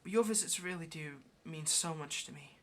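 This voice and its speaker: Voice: mid-range voice, moderate pace, slight background noise, close to an everyday voice. A performer playing a lonely, pleading, affectionate caged demon in an intimate ASMR roleplay, speaking in a hesitant, coaxing way. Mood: wistful, shy. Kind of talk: ASMR roleplay, intimate one-sided conversation.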